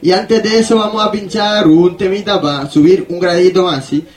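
A man's voice: high-pitched, fairly even intonation, moderate pace. A man hosting a radio show, introducing music in an informal, colloquial way.

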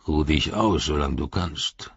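A man voicing a menacing male orc guard who sneers and hisses threats in a husky voice. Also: unhurried, a bit bright, no echo, highs cut off, heavily acted. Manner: sneering threats, low and hissing.

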